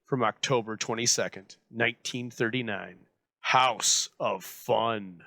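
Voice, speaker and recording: A man hosting with scripted, deliberate, slightly theatrical narration. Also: medium pitch, vocal fry, pushed and bright, dry recording. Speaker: host introducing old radio shows.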